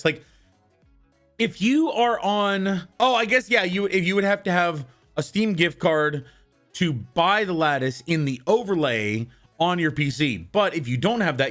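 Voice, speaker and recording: A man with a worn-out, husky voice chatting casually with his live-stream viewers and shouting greetings to newcomers. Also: on the high side. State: hoarse, worn-out voice.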